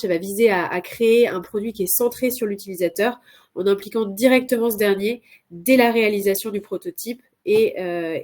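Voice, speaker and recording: A woman's voice: fairly high pitch, a little mellow. A woman with a cold, presenting as a consultant in a live webinar, hesitant with many filler sounds and restarts. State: has a cold.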